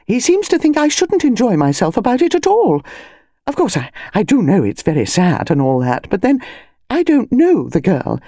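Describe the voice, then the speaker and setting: A woman voicing a breathless, gushing, excitable upper-class older lady in an audiobook. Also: medium pitch, normal speed, no room sound, studio quality, pitched above the performer's own voice. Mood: excited chatter. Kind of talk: audiobook character voice.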